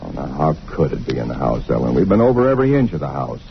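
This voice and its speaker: A man's voice: extremely low pitch, big pitch swings, quick pace, vocal fry, a little hiss or hum, heavily acted. An American man acting an ordinary, weary, dismissive husband in a 1940s radio drama. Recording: dull vintage radio recording, dramatic music.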